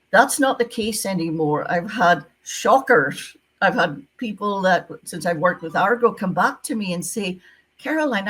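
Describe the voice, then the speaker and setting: A woman's voice: medium pitch, slightly rough, rough recording. A retired woman from Scotland with a Scottish accent, a former visa officer giving emphatic advice in an interview over a video call. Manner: emphatic, repeats key advice.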